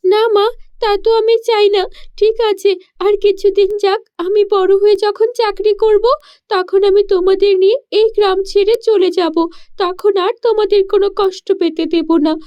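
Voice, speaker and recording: A woman's voice: extremely high pitch, flat delivery, normal speed, muffled recording, pitched above the performer's own voice. A woman voicing a poor, spirited village girl in a cartoon story, earnest and devoted to her family. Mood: concerned, resolute. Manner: earnest, protesting, questioning.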